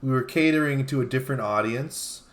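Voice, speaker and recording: A man's voice: mid-range voice, a little fast. A man co-hosting a comedic home show, answering dryly and evasively with lots of hesitations. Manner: dry, evasive, hesitant with many fillers. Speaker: co-host. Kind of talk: casual comedic banter.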